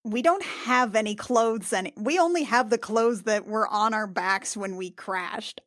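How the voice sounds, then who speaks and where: A woman's voice: medium pitch, measured pace, fairly bright tone. A woman playing a tabletop roleplaying game, chatting casually with the group.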